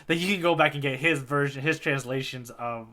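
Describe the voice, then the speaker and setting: A man's voice: mid-range voice, a little fast, bright, forceful tone. A man co-hosting a podcast, recapping a story in casual, enthusiastic chat. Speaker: podcast co-host.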